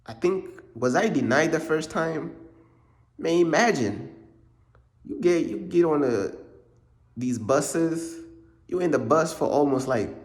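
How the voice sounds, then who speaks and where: A young man from Uganda telling his life story in a casual, rambling way, calling the listener "bro" over and over. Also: a little high. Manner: laid-back, rambling, says "bro" constantly. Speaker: faith vlogger. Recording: echoey room.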